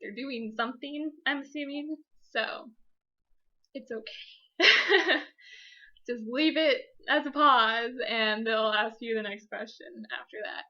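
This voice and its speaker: A woman's voice: fairly high pitch, takes it slowly, sharp tone, muffled recording. A young adult woman telling a personal story casually as a vlogger, chatty, giggly and self-deprecating.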